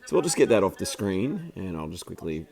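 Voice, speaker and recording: A man just over the flu, his voice croaky, giving chatty, rambling commentary over footage of a hockey game he filmed, with plenty of fillers and restarts. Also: low voice, sing-song, brisk, a crowd in the background. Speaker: amateur hockey commentator and video maker.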